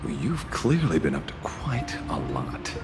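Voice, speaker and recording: Swooping, theatrical roguish ranger. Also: male voice, very deep voice, wildly swooping pitch, a little slow, full character voice. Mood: teasing. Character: roguish male ranger leader, theatrical and teasing. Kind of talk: dramatic game voice acting. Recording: long reverb, very loud music behind.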